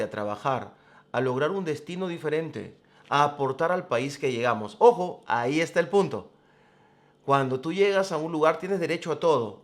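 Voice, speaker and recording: A man hosting a programme, giving commentary in a deliberate, emphatic, explanatory way. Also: a little high. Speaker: host of a religious current-affairs programme. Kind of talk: spoken commentary to camera.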